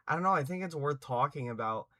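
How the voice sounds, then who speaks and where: A man's voice: a little high, animated, fast talker, sharp tone. A young man talking casually and unscripted, full of hesitations, restarts and fillers, now and then swearing for emphasis. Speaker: channel host giving opinions.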